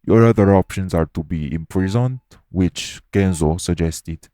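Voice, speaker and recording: A man acting a formal, patient male monster doctor with measured, stern speech in an audio roleplay. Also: low voice, warm tone, a little hiss or hum.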